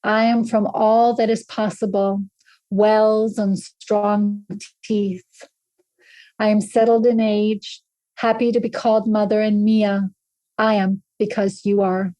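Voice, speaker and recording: A woman in her fifties from southern Africa, reading her own poem aloud in a measured, even recitation as a podcast guest. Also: medium pitch, no echo, rough recording. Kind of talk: slow poetry reading.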